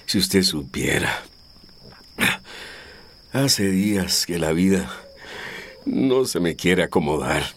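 An actor playing an injured, weary, humble countryman in a radio drama, halting and sorrowful, with a Latin American accent. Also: low-pitched, expressive, slight background noise, full character voice. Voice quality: husky, with coughing and groaning breath.

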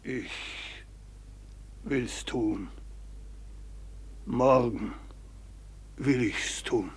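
An actor playing a devout, loyal old manservant of seventy-one in a radio drama, humble, emotional and pleading. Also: male voice, medium pitch, extremely slow. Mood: fearful, pleading. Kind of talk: classic German radio drama acting.

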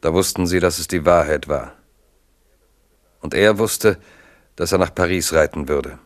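A man narrating a 1970s radio play in a measured, lyrical way. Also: low voice, dry recording, studio quality. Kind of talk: scripted narration.

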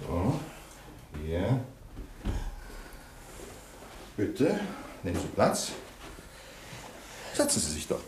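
A man's voice: on the low side, huge pitch swings, takes it slowly, noisy background, full character voice. A man playing a pedantic, fault-finding bank manager in a radio crime comedy, sarcastic and bossy in his clipped delivery. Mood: stern, sarcastic.

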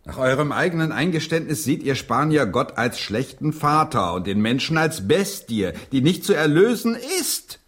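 An actor in a German radio play voicing a proud, indignant English playwright, declamatory and sardonic. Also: male voice, on the high side, sing-song, normal speed, few pauses, breathy edge.

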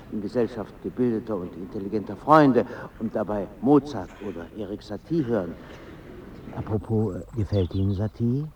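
An actor in a German radio drama playing a confused, fussy middle-class intellectual, with a pedantic, hesitant and formal manner. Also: male voice, lowish voice, lightly acted. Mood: prim, disapproving. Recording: dull sound with faint ambient noise.

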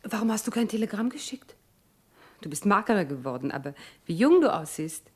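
An actress in a German radio play playing an affectionate, fussing wife and young mother with a husky voice. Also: medium pitch, sing-song, fairly quick, a little mellow. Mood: joyful, flustered.

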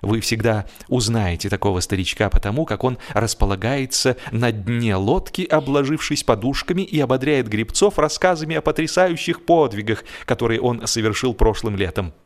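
A man's voice: medium pitch, lively intonation, normal speed, hardly any pauses. A man narrating humorous fiction expressively, with a wry, theatrical delivery. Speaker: audiobook narrator.

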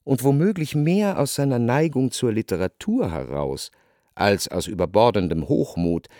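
An actor as a measured, reflective narrator reading scripted literary prose in a radio drama. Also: male voice, mid-range voice, very animated, mellow, no echo, studio quality, lightly acted. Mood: observant.

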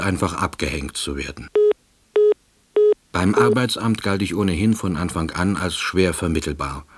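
Jobless homebody narrating a radio drama, really deep voice, bit rough, dry and ruminating. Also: male voice. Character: withdrawn, long-unemployed East Berlin househusband, quiet ruminator. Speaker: narrator and lead of radio play.

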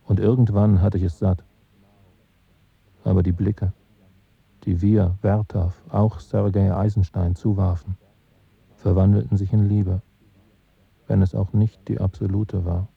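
An opinionated revolutionary intellectual for radio drama. He muses in halting phrases in a low, creaky voice. The recording is dry and thin, like a phone line. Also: little pitch movement, no echo, heavily acted. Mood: reflective, opinionated. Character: male French cinephile director, opinionated, disillusioned revolutionary intellectual. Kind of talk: German radio play acting.

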